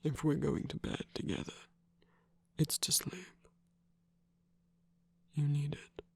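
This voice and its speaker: A performer of unclear sex playing an affectionate, battle-scarred villain lover in an intimate ASMR roleplay, tender, teasing and soft-spoken, with a breathy, husky voice. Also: mid-range voice, slow, dry recording, full character voice. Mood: teasing, scolding.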